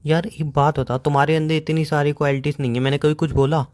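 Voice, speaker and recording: A man's voice: fairly high pitch, flat delivery, fast talker, a little mellow. A young man in a casual couple's phone argument, defensively making excuses. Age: young adult. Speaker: boyfriend on a phone call. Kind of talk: casual relationship argument in call format.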